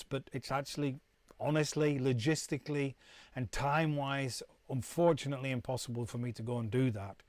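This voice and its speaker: A man's voice: medium pitch, no echo. A middle-aged man from the UK, chatting casually to viewers on a live stream, rambling and restarting often. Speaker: musician hosting a live stream.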